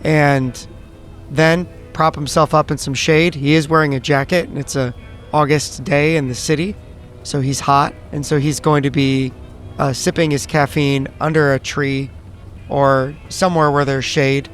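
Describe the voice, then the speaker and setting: A man's voice: fairly high pitch, flat delivery, measured pace, soft background music. A man who is a player in a tabletop roleplaying game, describing what his character does.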